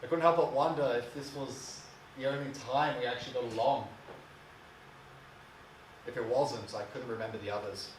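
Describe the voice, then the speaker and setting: Male actor as bitter, wounded adult son: brooding dramatic monologue, halting and emotional, echoey, away from the mic. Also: medium pitch, fairly even intonation, lightly acted. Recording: distant mic, echoey room, faint hiss.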